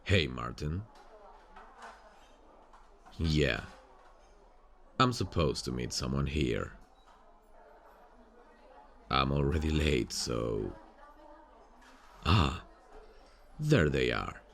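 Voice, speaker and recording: A man acts out a haunted detective in an intimate audio roleplay. His voice is extremely low and gravelly, and he takes his time with every phrase. He addresses his partner directly, weary but intent on the hunt, over faint background ambience. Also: very animated, extremely slow, pauses often, fairly bright tone, slight background noise, heavily acted. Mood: casual, inquiring. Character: weary, haunted male detective hunting a serial killer. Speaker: ASMR roleplay voice actor. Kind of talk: ASMR roleplay, intimate dramatic acting.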